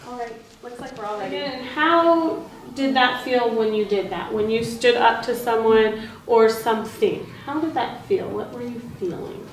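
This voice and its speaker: A woman's voice: a little high, moderate pace. A woman practicing classroom teaching, asking questions and echoing back answers in an encouraging way. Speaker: teacher rehearsing a read-aloud lesson with colleagues. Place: indoor room with group, distant mic. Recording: echoey room, distant mic, faint noise.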